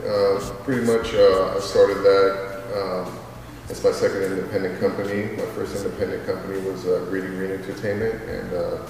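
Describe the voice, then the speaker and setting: A man's voice: sits low, flat delivery, moderate pace, noisy background. A man in his thirties, a music artist and label owner, answering interview questions in a rambling, casual way with many fillers. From Queens, New York; raised in Los Angeles. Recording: distant mic in echoey reverberant space with crowd.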